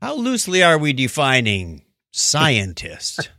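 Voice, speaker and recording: A man co-hosting a casual podcast, reading listener comments aloud with a jokey, animated manner. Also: a little high, slow, no room sound, studio quality. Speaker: podcast co-host.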